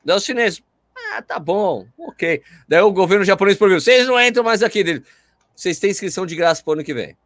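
A Brazilian man with a Brazilian Portuguese accent, hosting a casual chat, animated and opinionated, ranting in slang. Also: high-pitched, fast talker, no echo, studio quality. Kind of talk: casual chat about running.

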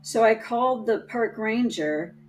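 A woman telling a personal story in casual chat, halting and restarting often, with a trained, projecting voice. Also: fairly high pitch, lively intonation, slight background noise, rough recording. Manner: slow, halting, many restarts.

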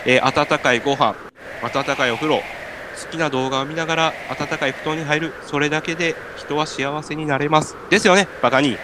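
A man's voice: fairly high pitch, steady tone, brisk, natural voice. A man with a Kansai accent playing an earnest, exasperated podcaster stranded on a mountain in an amateur radio drama. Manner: earnest, exasperated retorts and pleas. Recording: clear background noise.

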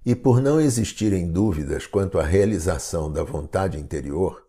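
A Brazilian man narrating a guided meditation in a calm, soothing, deliberate manner. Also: low voice, husky.

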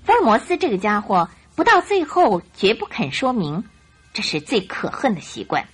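A woman narrator telling a scripted detective story for a radio drama in an expressive storytelling style. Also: medium pitch, normal speed, slightly rough, soft background music.

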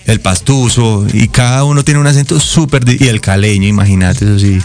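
A man's voice: on the low side, brisk, a little mellow, faint music behind. A man from Colombia with a Colombian accent, a guest in a casual interview who explains things at length, listing examples.

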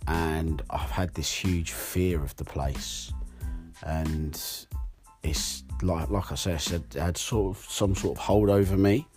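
Hoarse low-voiced man. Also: takes it slowly, a little mellow, faint music behind. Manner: candid, rambling with fillers. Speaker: podcast host. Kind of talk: solo podcast monologue.

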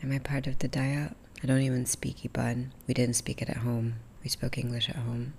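A woman's voice: extremely low pitch, moderate pace, creaky, slight background noise. A woman in her thirties from Sarawak with an American accent, telling a personal story candidly on a podcast, often dropping into a whisper. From Sarawak, Malaysia. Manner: candid, animated retelling with frequent whispers and laughs. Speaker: podcast host. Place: lying on a couch in a dark room.

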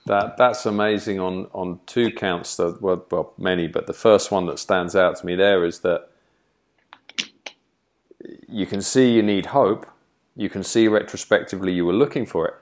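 A man's voice: sits low, fairly even intonation, moderate pace. A man hosting an interview, thoughtful, restating his guest's story at length before asking. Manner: thoughtful, rephrases questions at length. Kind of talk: podcast interview.

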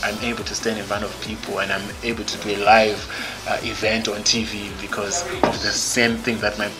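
A man with a Zimbabwean English accent and a fairly low voice talks as a podcast guest. He is outspoken and blunt, and he restarts his sentences often as he thinks aloud. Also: background noise. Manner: many fillers and restarts. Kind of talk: candid interview conversation.

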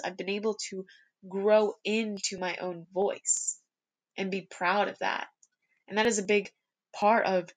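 A young woman speaks alone on her podcast, earnest and self-reflective, often posing questions to herself. Her voice has a gravelly fry running through it and sits at an ordinary middle pitch. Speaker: podcast host. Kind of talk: reflective solo podcast monologue.

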